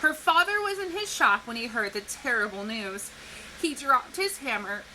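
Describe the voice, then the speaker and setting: Animated, bright-voiced woman teaching children. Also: fairly high pitch, normal speed, slight background noise, poor audio. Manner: animated, clearly explaining, posing questions to young listeners. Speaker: teacher reading a picture book to children. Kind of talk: educational read-aloud for young children.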